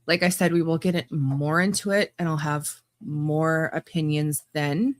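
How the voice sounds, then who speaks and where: A woman's voice: deep voice, steady tone, unhurried, no room sound. A woman hosting a podcast, giving blunt, casual commentary with laughing asides and frequent restarts. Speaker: podcast host.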